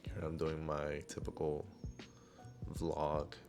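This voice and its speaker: Deep-voiced guy doing chill rambly vlog voiceover, low gravelly tone. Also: monotone, slow pace, over music. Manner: laid-back, rambling with fillers. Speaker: vlogger. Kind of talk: relaxed voiceover narration. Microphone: clip-on wireless mic.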